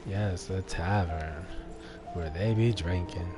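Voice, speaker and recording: A man's voice: low voice, slow pace. A man giving casual, slangy, bantering live commentary as he streams a video game. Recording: game music under the voice. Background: game music.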